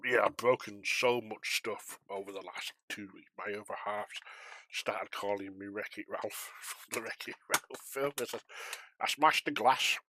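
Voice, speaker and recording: A man's voice: fairly low pitch, unhurried, bright, forceful tone, low-quality recording. A man chatting casually on a flight simulation stream, rambling and self-deprecating as he talks to viewers while controlling air traffic.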